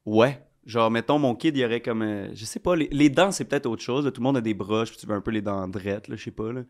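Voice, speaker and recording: A man's voice: mid-range voice, fast, darkish tone. Slangy young Québécois man. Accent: Québécois French. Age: 20s. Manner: slangy, rambling, many fillers. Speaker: podcast guest. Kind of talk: casual chat.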